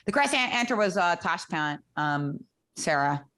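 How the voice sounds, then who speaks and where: A woman's voice: fairly low pitch, animated, moderate pace, fairly bright tone. A woman runs an online chess class from her end of a video call. She speaks in an encouraging, organizing way, praising the students and moving the session along. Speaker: chess club host.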